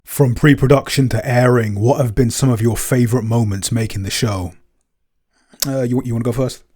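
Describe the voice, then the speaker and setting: A man co-hosting a casual chat, soft-spoken with dry, understated humor. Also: mid-range voice, fairly even intonation, normal speed. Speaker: podcast co-host and composer.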